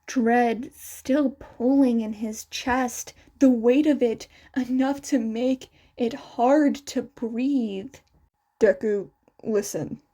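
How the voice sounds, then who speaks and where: A woman reading fan fiction aloud as a scripted, expressive story narration. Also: a little high, slow pace, few pauses. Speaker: podfic narrator.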